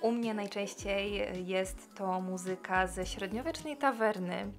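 Brief: relaxed woman in her 30s, chatty cleaning voice-over, soft music underneath. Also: medium pitch, unhurried. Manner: chatty, relaxed, many fillers. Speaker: cleaning vlogger. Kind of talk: casual voice-over chat.

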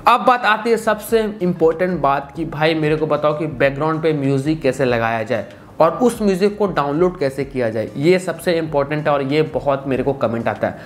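A man's voice: on the high side, animated, fairly quick. A man giving a casual, instructional phone-app tutorial, often repeating stock phrases. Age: 20s. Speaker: tutorial presenter. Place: small indoor room.

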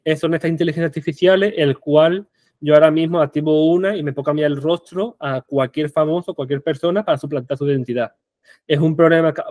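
A man's voice: fairly high pitch, dry recording, studio quality. Young Andalusian man giving a tech talk. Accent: Andalusian Spanish. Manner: animated, rhetorical questions, frequent restarts. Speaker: conference speaker. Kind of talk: online tech conference talk. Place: small indoor room.

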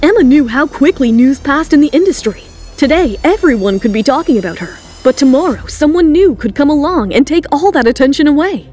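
A woman's voice: sits high, lively intonation, a little fast, darkish tone. A woman reading scripted, dramatic third-person narration for an audio drama. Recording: music bed under the voice. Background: background music.

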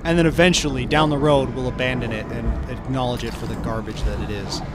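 A man's voice: medium pitch, steady tone, fast, a crowd in the background. A man co-hosting a let's play, talking casually, laughing often and rambling as he corrects himself. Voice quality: tenor singing voice. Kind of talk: casual gaming commentary. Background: loud game music.

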